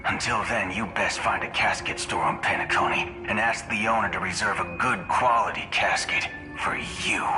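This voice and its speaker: A man's voice: fairly low pitch, lightly acted. A man playing a cocky, menacing gunslinger in a video game, with a swaggering drawl and a wry, taunting edge. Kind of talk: dramatic video game voice acting. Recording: long reverb, loud music behind.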